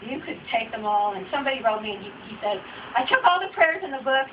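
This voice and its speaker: A woman's voice: on the high side, animated, fast talker. A middle-aged woman giving an informal, rambling, candid book talk to an audience. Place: bookstore event room with audience. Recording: muffled, noisy bookstore audience recording, distant mic.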